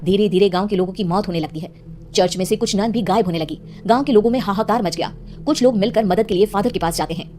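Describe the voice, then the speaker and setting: A woman's voice: mid-range voice, quick pace, warm tone, soft background music. A woman narrating a scripted horror cartoon story as its storyteller.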